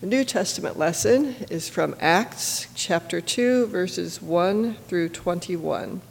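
A scripture reader with a stuffy, congested voice and a gravelly edge, reading at an even pace. The sound is picked up across a large church sanctuary, with a long echo trailing each phrase. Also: medium pitch, slow, slight background noise. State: stuffy from a cold. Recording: echoey church from a distance.

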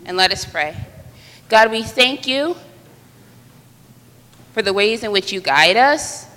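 A woman's voice: mid-range voice, animated, slow, pushed and bright. A woman leading a children's activity and prayer in church, talking in an encouraging, upbeat way. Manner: encouraging, upbeat teacher talk. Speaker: children's message leader. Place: church sanctuary. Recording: echoey church from a distance.

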